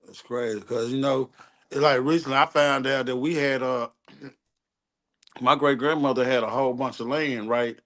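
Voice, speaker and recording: A man's voice: medium pitch, steady tone, moderate pace, a bit bright. A man chatting casually and telling stories in profane street slang, repeating himself and often checking that he is understood. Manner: street slang, profane, repetitive, seeks agreement. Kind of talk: casual chat, storytelling.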